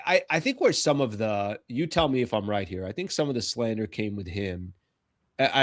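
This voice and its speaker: An American man hosts casual sports talk in a mid-pitched voice. The sound is dry, with no room around it, as he trades views and questions conversationally. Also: dry recording. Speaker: sports talk show host.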